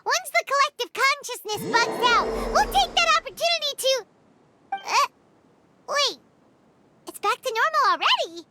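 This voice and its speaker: A woman voicing a small, childlike fairy companion in a video game, excitable, loyal and outspoken, with a squeaky, exclamatory delivery. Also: normal speed, pauses often, exaggerated voice. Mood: surprised, chatty. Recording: loud music over the voice.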